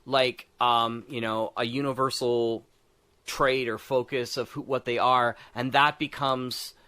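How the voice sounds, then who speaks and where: A man with a bright, forward voice at a medium pitch talks casually about game design. He works out his ideas aloud in halting phrases and often checks that the others agree. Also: fairly even intonation, smooth. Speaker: podcast co-host.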